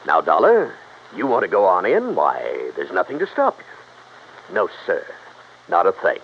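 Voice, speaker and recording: A man's voice: low voice, huge pitch swings, unhurried, full character voice. An actor playing a sly, folksy American small-town businessman with a taunting manner in an old-time radio drama. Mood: sly, goading. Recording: dull, hissy vintage radio recording.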